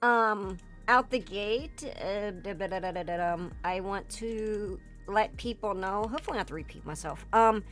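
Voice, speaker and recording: A hoarse woman streamer in a casual livestream chat, rambling with lots of fillers and swearing. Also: mid-range voice, normal speed, hardly any pauses, fairly bright tone. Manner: rambling, filler-heavy and profane, breaks into laughter. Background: faint background music.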